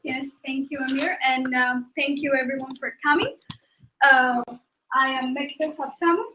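Woman from Ethiopia, dev presenter, high bright voice, organized delivery, video call. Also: fairly even intonation, normal speed, slight background noise, rough recording. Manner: fluent, organized, a few ums. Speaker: junior developer presenting. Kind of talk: online presentation talk.

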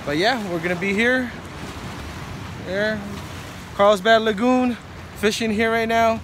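A fairly high-voiced man chats into a handheld phone on a windy lagoon shore. He rambles easily, with frequent fillers and restarts. Wind and water noise sit clearly behind him. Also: fairly even intonation, unhurried. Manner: chatty, many fillers and restarts. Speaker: fishing vlogger. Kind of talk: casual outdoor vlog. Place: rocky lagoon shore outdoors. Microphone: phone camera at arm's length.

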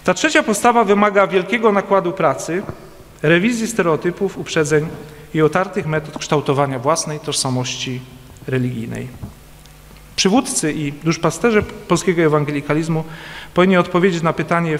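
A man giving a formal academic conference talk at a lectern in a large church hall, measured and deliberate, pausing with hesitant fillers between phrases. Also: on the high side. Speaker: conference speaker. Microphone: standing at a lectern, recorded by a distant camera. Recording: long hall reverb from a distant camera.

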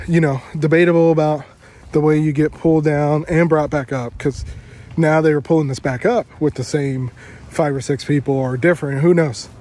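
A young man telling a travel story to his phone camera outdoors, animated and playing it up for effect, with plenty of fillers. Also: on the high side, moderate pace. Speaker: travel vlogger. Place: outdoors among trees.

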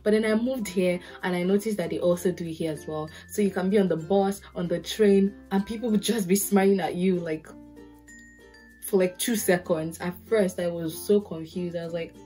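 Nigerian accent young woman chatting to camera, warm mellow tone, tells stories n acts out what people said, faint music under. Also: fairly low pitch, normal speed. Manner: lively storytelling, acts out quotes. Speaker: podcast host, lawyer. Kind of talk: casual advice talk to camera. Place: bedroom with curtains, indoors.

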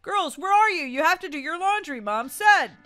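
A woman voicing a cheerful, easygoing, goofy dad in an animated, playful family role-play over a video game. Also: very high-pitched, normal speed, soft background music, exaggerated voice. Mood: nagging.

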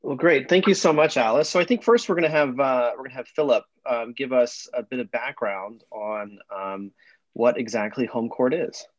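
A man's voice: mid-range voice, normal speed, no room sound. A man in his thirties casually moderating an online talk over a video call. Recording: dull video-call audio.

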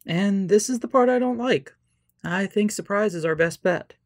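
A woman's voice: mid-range voice, normal speed, no room sound, clean studio sound, natural voice. A woman playing a wary, plain-spoken rescue team member in an audio drama, blunt and questioning.

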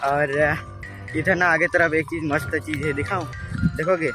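A man's voice: on the high side, steady tone, measured pace, music in the background. A young man from Jashpur, Chhattisgarh, with a local accent, vlogging casually and joking as he walks a forest path, filming on a handheld phone. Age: young adult. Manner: rambling, joking, talks while walking. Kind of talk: casual walking vlog. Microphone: phone camera held at arm's length.

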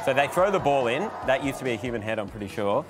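A man in his thirties with an Australian accent, presenting a comic sports segment in a TV studio on a lapel mic, cracking quick jokes and laughing through his lines. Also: mid-range voice, lively intonation, music in the background. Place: TV news studio.

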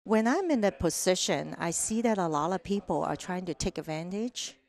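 A middle-aged woman from Hong Kong with a Hong Kong accent, telling stories as a podcast guest on a headset mic, animated and lively, often asking "right?". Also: fairly low pitch, moderate pace, gravelly. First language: Cantonese. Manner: animated, acts out quoted voices, frequent "right?". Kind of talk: casual interview storytelling. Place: sunny room with large windows.

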